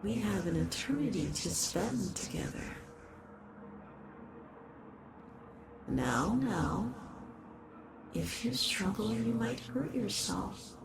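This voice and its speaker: Woman playing a slow, creaky, possessive eldritch lover. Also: medium pitch, expressive, background noise. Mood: soothing, menacing. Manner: slow, deliberate, formal, soothing yet sinister. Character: possessive, obsessive eldritch horror, tender and menacing lover. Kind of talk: scripted roleplay audio monologue.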